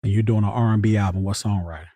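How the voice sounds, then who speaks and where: A man's voice: fairly low pitch, steady tone, racing, dark tone, no echo. A middle-aged man hosting a casual podcast interview, reacting informally with short repeated interjections. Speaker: podcast host.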